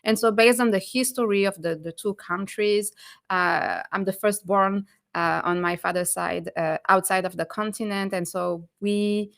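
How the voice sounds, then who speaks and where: A woman's voice: medium pitch, fairly even intonation, measured pace, vocal fry, a bit bright. A woman from France hosting a podcast, speaking with a French accent in an enthusiastic, encouraging way. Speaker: host. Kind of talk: conversational podcast interview.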